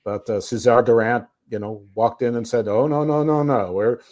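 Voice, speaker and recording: A man talks through a wrestling show review in loose, rambling podcast chat, often restarting his sentences and chuckling to himself. His voice sits at an ordinary, mid-range pitch for a man. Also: fairly even intonation, normal speed, a little mellow. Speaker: podcast co-host.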